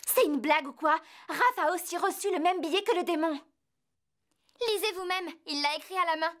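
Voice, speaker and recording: A woman voicing an earnest, feisty teenage angel girl in a French cartoon dub, excitable and emphatic. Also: very high-pitched, fairly quick. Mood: indignant.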